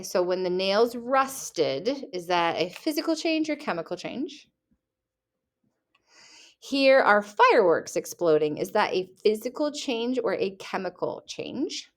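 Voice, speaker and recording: A young woman teacher reading test questions aloud and rephrasing them playfully for her students, recorded at her computer. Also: mid-range voice. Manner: reads each question, then rephrases it playfully.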